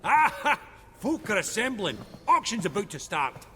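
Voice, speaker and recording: Dwarf banker for a game, high swoopy voice, chummy and bossy, echoey room. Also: male voice, big pitch swings, normal speed, bright, forceful tone, a little hiss or hum, full character voice. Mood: chatty. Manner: colloquial, blustering, swearing. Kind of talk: video game voice acting.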